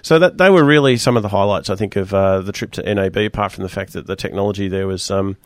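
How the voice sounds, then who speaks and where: A man hosting a technology radio show, chatting casually with a croaky, hoarse voice and rambling on animatedly. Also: on the low side, no echo, studio quality. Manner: rambling, animated, quick-talking.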